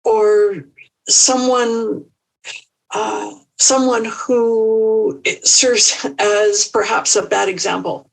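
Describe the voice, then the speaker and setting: A woman speaking as an empowerment coach in an interview, measured and thoughtful with small restarts. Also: mid-range voice, fairly even intonation, vocal fry, distant mic, poor audio. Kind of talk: reflective interview answers.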